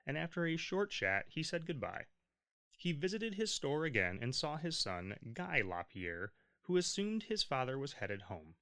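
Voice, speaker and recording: Man narrating history with a lively, varied pitch. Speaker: narrator of a history tour stop. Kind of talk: scripted narration, storytelling read aloud.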